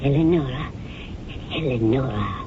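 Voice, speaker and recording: A woman's voice: very deep voice, lively intonation, unhurried. An actress playing an older motherly companion who fondly scolds a young actress, in a radio drama. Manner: fond, gently scolding. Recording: tinny hissy old radio broadcast.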